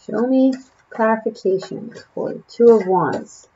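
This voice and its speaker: A woman's voice: fairly high pitch, a little slow, warm tone, muffled recording. A woman from Israel with an Israeli accent, a native Hebrew speaker, giving a casual tarot reading to the camera, musing and chatty with many fillers. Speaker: tarot reader.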